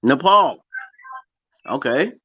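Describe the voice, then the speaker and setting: A man's voice: medium pitch, animated, extremely slow, pushed and bright, husky, lo-fi sound, faint music behind. A man in his forties from Brooklyn, hosting a casual livestream and rambling from topic to topic. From Brooklyn, New York, USA. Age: 40s. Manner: rambling, loses his train of thought. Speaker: livestream host.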